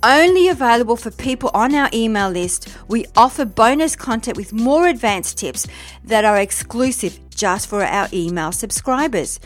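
A woman's voice: a little high, expressive, moderate pace, faint music behind. A woman hosting a podcast, reading an upbeat scripted intro and asking enthusiastic interview questions. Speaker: podcast host.

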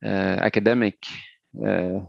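A middle-aged man from Sweden, a native Swedish speaker, talking haltingly and thoughtfully as a musician in a casual interview. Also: on the low side, steady tone, creaky, dry recording, muffled recording. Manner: hesitant, halting, thoughtful, searching for words.

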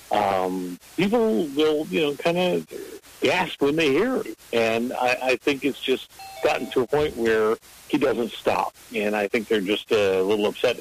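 A man on a radio panel, talking casually in thoughtful, halting phrases. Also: mid-range voice, poor audio.

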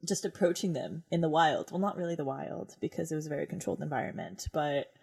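A woman's voice: sits low, quick pace, gravelly, darkish tone, slightly rough. A woman co-hosting a podcast, talking in an enthusiastic, bubbly way. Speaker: podcast co-host. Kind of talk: casual podcast chat.

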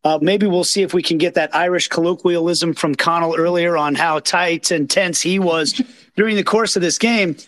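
Hoarse, excited man hosting a reaction show. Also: a little high, moderate pace. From Kansas City, Kansas. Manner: excited, rambling, self-deprecating. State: hoarse from yelling. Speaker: podcast host. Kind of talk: live post-match reaction chat. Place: green room behind an outdoor stage. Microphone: phone.

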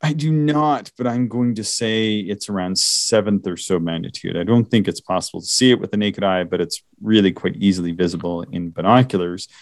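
A man's voice: low-pitched, fairly quick, few pauses, dry recording, clean studio sound. A man co-hosting a casual astronomy podcast, rambling with many fillers and restarts. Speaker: podcast co-host.

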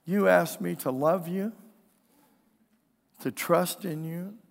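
A man's voice: high voice, slow, gravelly. A man preaching a conversational sermon as a pastor, folksy and earnest, his voice strained and weakened, often asking the congregation for an amen. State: strained, weakened voice with throat clearing. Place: indoors, preaching in a church. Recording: church hall with long reverb.

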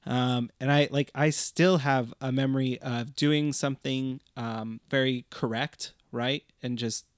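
A woman's voice: a bass voice, fairly even intonation, unhurried, few pauses, dry recording, clean studio sound. A woman in her thirties chatting casually as a podcast co-host, with a wry, sarcastic way of acting out remembered lines. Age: 30s. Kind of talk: casual conversational chat.

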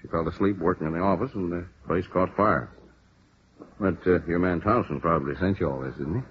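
An actor as a very deep-voiced American police lieutenant. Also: male voice, lightly acted. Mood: matter-of-fact. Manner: deliberate, emphatic, matter-of-fact. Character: seasoned, businesslike male police lieutenant, firm and frank. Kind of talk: 1950s radio drama acting. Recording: muffled, hissy old radio recording.

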